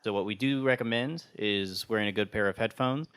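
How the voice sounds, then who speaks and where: A man's voice: fairly low pitch, a little fast, fairly bright tone, smooth, dry recording. A man hosting and narrating a scripted audio drama podcast.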